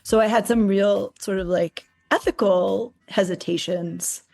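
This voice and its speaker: A middle-aged woman speaking as an interview guest about academic publishing, animated and emphatic with occasional restarts. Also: mid-range voice, unhurried, gravelly. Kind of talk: casual interview talk.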